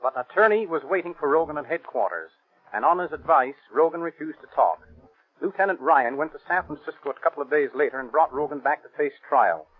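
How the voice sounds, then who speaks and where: Male narrator: slightly high, rough-edged voice, formal factual reading, tinny 1930s radio recording. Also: expressive, fast talker. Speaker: narrator, police captain.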